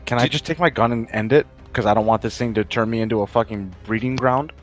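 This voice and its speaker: Blunt man with a mid-pitched voice. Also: quick pace. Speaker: player. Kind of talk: casual game chat. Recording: music behind the voice.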